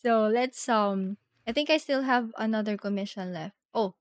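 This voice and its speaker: High-voiced woman chatting on a game stream. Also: normal speed. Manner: giggly, thinking aloud. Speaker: streamer playing a video game. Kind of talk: casual live game stream chat.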